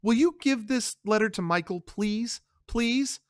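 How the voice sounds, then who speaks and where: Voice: medium pitch, a little slow, dry recording, studio quality, pitched above the performer's own voice, lightly acted. A storyteller voicing an anxious young woman who pleads politely but insistently. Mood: pleading.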